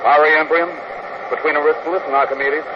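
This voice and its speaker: An actor playing a tough, steady spaceship pilot with gruff care and clipped, slangy American talk in a 1950s radio drama. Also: male voice, fairly high pitch, quick pace, lightly acted. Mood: easy, reassuring. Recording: noisy, muffled old radio recording.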